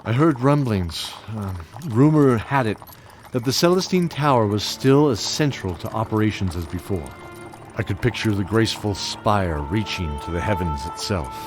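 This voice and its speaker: Man acting deep-voiced, tender old knight storyteller. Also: animated, normal speed, music in the background, close to an everyday voice. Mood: hesitant, proud. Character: bearded old knight, tender, fatherly, wistfully recalling his past. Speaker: narrator and knight character. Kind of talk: audio drama storytelling.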